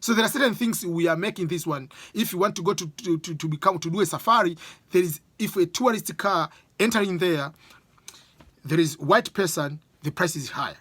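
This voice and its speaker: A man's voice: high-pitched, a little fast, a bit bright, husky, dry recording. A man with an East African accent speaking English as a Swahili speaker, giving an informal opinion monologue and repeating phrases for emphasis.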